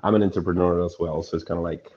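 Man from Argentina, very low voice, mellow tone, muffled lo-fi sound, relaxed casual talk. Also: racing, breathy edge. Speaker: interview guest. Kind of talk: casual conversation.

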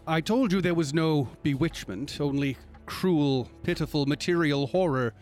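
A man playing a grave storyteller in a radio drama, narrating in a measured, ornate and ominous manner. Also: medium pitch, a little mellow, close to an everyday voice. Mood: somber. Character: grave storyteller recounting a grisly tragedy. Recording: music behind.

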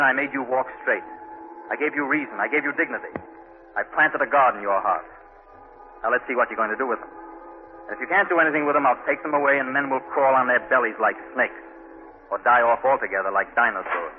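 An actor playing an earnest, idealistic poor young architect from the tenements, impassioned and speechifying in 1940s radio drama style. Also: male voice, medium pitch, phone-quality sound, natural voice. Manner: impassioned, speechifying about hopes and hardship. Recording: thin, noisy 1940s radio recording.

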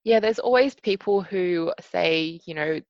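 A chatty woman with a low voice talks as an interview guest over a video call. She fills her sentences with "you know" and "like". The audio is dry and a little dull. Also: measured pace, no room sound. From Australia. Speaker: podcast guest, hackathon organiser.

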